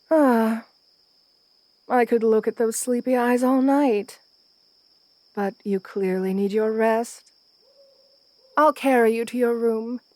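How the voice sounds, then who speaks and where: A woman's voice: on the high side, a little mellow, no room sound. A woman playing a seductive, assertive countess in an intimate ASMR roleplay, speaking in a genteel, soothing, flirtatious way. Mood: flirtatious, admiring.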